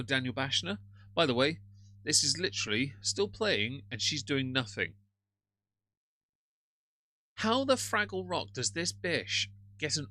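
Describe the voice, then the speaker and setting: A man's voice: medium pitch, pushed and bright, no echo. A man with a British accent hosting a casual live stream, ranting sarcastically and greeting chat viewers. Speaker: livestream host reacting to another streamer.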